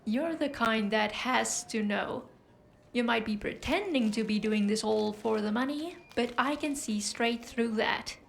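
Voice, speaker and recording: A woman playing a composed, knowing doctor in a noir audio drama, speaking in a measured, insinuating way. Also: a little high, creaky, a little hiss or hum. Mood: knowing, teasing. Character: knowing, composed female doctor with a teasing edge.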